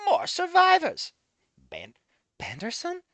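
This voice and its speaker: Man putting on a frantic old scientist, high squeaky pitch, stretched slow cries, dry sound. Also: wildly swooping pitch, a bit bright, no room sound, full character voice. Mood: frantic relief. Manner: shrill, drawn-out exclamations.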